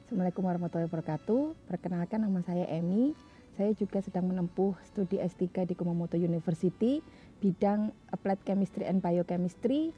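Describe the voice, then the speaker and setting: A woman's voice: on the low side, brisk, dull audio, faint music behind. A young adult woman from East Java, recounting her own story in a casual conversational way. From Jombang, East Java, Indonesia. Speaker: doctoral student telling her story.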